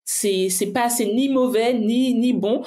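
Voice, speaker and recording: A woman's voice: fairly high pitch, takes it slowly, warm tone. A woman guest in a casual conversation, a finance coach who talks pragmatically and thinks aloud with frequent restarts. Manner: reflective, pragmatic, many restarts and fillers while thinking aloud.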